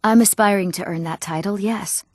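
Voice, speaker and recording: A woman's voice: mid-range voice, normal speed, no room sound, studio quality, close to an everyday voice. An actress playing an eager, earnest young townswoman in a fantasy video game, speaking in an articulate, well-spoken way. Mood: earnest, worried. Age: young adult.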